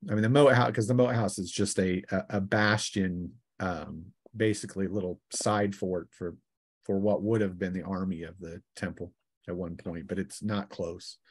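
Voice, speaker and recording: A man narrating a tabletop roleplaying game as game master, improvising his descriptions with halting pauses. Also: low-pitched, fairly even intonation, husky.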